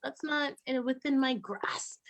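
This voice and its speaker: A woman performing a stand-up comedy routine with cocky, teasing delivery and drawn-out pauses. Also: high voice, steady tone, fairly bright tone.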